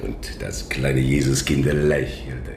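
A man's voice: very deep voice, level tone, slow, vocal fry, exaggerated voice. A man playing a proud, hot-tempered Italian immigrant woodcarver with an Italian accent in a radio crime drama. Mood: proud, reverent. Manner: indignant, swearing, swooping between outrage and reverence. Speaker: actor. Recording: roomy echo, faint noise.

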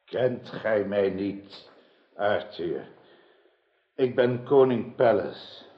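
An old king in a radio drama, betrayed and sorrowful. He speaks very slowly and solemnly, in a low voice, on a dull, roomy recording. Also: lively intonation. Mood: bitter, sorrowful. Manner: solemn, halting, archaic speech.

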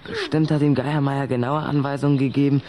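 A woman's voice: rumbling low, steady tone, a little fast, noisy background. A woman playing a timid, curious boy in a German radio play, hesitant, questioning and polite. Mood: worried, surprised.

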